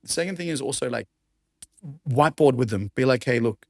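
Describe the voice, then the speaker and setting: A man with an Australian accent and a raspy voice, talking enthusiastically as a podcast guest. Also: mid-range voice, expressive, a little fast, no room sound, studio quality. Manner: enthusiastic, conversational, laughs often. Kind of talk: casual chat.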